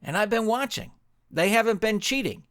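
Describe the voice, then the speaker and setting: Nervous male coach for audio storybook, played by a male narrator: high, whimpering, pleading, animated. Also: normal speed, no room sound, clean studio sound. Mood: earnest pleading. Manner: whimpering, insistent.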